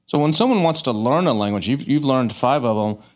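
A man's voice: medium pitch, animated, brisk. A man hosting a podcast interview, asking long, rambling questions and often repeating or rephrasing them. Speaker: podcast host. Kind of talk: interview questions, casual chat.

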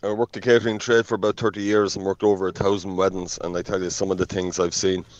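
A man's voice: low-pitched, flat delivery, fast. A middle-aged or older Irish man telling casual anecdotes in a voice note sent to a radio show. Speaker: listener leaving a voice note. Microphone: phone voice note. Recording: muffled lo-fi voice note.

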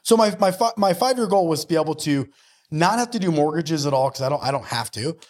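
A man's voice: fairly high pitch, lively intonation, fast. A man in his early forties talking conversationally as an interview guest, earnest and motivational, often checking in with "right?". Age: early 40s. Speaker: podcast guest, mortgage lender and business owner.

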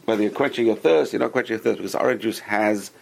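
A man's voice: fairly low pitch, fast, creaky. A man teaching an informal religious class to a group around a table, explaining step by step, repeating key points and asking the students questions. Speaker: Torah teacher.

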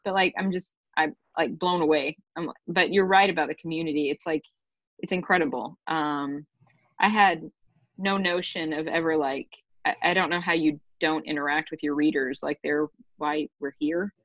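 A woman with a very low voice hosts a book podcast, rambling warmly with many fillers. Her sound is dry and close, on dull lo-fi audio that muffles the highs. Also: fairly even intonation, normal speed, no room sound. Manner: gushing, rambling, filler-heavy. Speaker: podcast host and author. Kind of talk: casual podcast chat.

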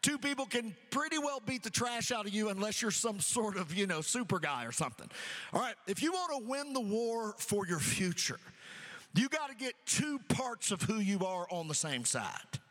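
A middle-aged man preaching an energetic sermon, repeating phrases rhythmically and calling on the congregation to answer. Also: sits high, moderate pace, big-room sound, low-quality recording. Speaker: pastor. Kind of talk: energetic sermon with call-and-response.

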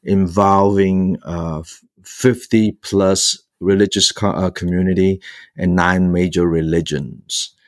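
Deep-voiced, halting man in his sixties. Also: steady tone, no echo, studio quality. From Hong Kong. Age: 60s. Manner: halting, frequent long hesitations and repeated fillers while searching for words. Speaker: guest, priest and author. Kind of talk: conversational personal storytelling in an interview.

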